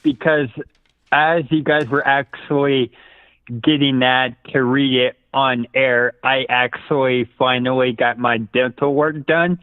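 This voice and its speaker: A man's voice: medium pitch, level tone, measured pace, clean tone, dry recording. A man chatting casually as a regular caller phoning in to a talk show. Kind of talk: casual phone call-in chat. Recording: dry, narrow phone line.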